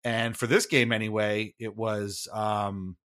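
A man's voice: on the low side, level tone, takes it slowly, fairly bright tone. A man hosting a sports podcast, casually recapping in a conversational, wry way with frequent hedging. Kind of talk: casual hockey game recap chat.

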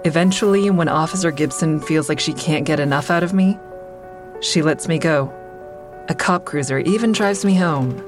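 A woman's voice: low voice, normal speed, lightly acted. An actress playing a guilt-ridden, self-critical crisis counselor who tells her story in confessional first-person audio drama narration. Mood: weary, sardonic. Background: prominent music.

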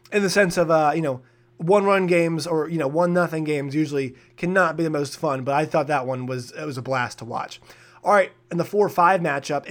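Young male sports podcast host, raspy today, fairly high voice, energetic and opinionated. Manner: energetic, opinionated, with frequent fillers and restarts. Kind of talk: casual sports podcast commentary.

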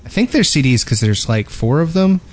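A man's voice: fairly high pitch, very animated, brisk, darkish tone. A man chatting casually as a gaming podcast co-host, with a playful, mock-serious way of talking.

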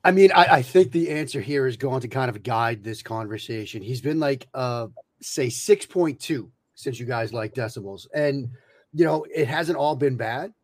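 A man's voice: medium pitch, normal speed, no echo. A man talking as a guest analyst, laying out points methodically with frequent fillers and self-restarts. His voice is dry and close, with no room sound. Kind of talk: casual podcast conversation.

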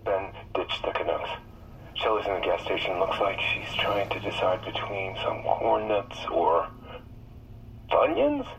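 An actor with an American accent playing an excitable, self-serious amateur bounty hunter over a phone line in a comedy audio drama. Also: male voice, sits low, a little slow, a little hiss or hum, heavily acted. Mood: urgent. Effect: phone call filter.

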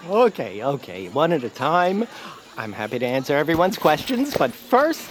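A meek, sensitive cartoon fellow, gentle and hesitant. His slightly high voice moves around a lot, with clear noise behind it. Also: wildly swooping pitch, normal speed. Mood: flattered. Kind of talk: audio fiction cartoon-style acting.

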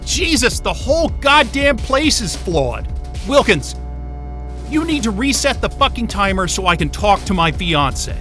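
Trapped male scientist, audio drama, male actor: agitated, foul-mouthed, forceful high voice, music underneath. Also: big pitch swings, measured pace, exaggerated voice. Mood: angry, defiant. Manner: agitated, profane, combative. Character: hot-tempered, sarcastic male astrophysicist trapped in a remote station.

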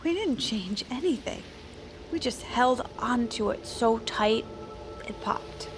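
An actress playing a blunt, quirky old confidante in an audio drama, deadpan with rambling odd humor. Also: female voice, a little high, takes it slowly, noisy background. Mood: frank, regretful. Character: dry, quirky, frank old confidante of a First Lady. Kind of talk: audio fiction drama dialogue.

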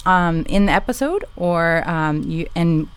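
A woman's voice: on the low side, animated, measured pace, smooth. A woman who produces a podcast, speaking in a casual, eager way with many ums. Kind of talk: casual chat.

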